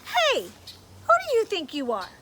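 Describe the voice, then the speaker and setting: A woman's voice: sits high, huge pitch swings, moderate pace, fairly bright tone, slight background noise, heavily acted. A woman voicing a stern, mocking conscience that scolds and interrogates, in a storybook read-aloud. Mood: indignant. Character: scolding, bossy conscience, a voice inside the head.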